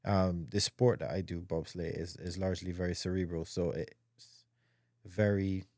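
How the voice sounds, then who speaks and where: A man, a guest speaking casually in an interview, soft-spoken and hesitant with many ums and repeated words. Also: sits low, fairly even intonation, moderate pace, dry recording, studio quality. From Barbados. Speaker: guest, para-bobsleigh athlete.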